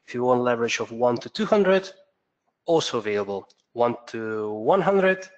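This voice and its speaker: A man's voice: mid-range voice, moderate pace, highs cut off. Man presenting a trading webinar. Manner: steady explaining, occasional fillers and self-corrections. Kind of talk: instructional webinar talk.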